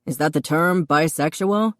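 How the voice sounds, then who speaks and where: A woman voicing an excitable, gushing male chef who calls out from his kitchen. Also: deep voice, moderate pace, no echo, clean studio sound, lightly acted.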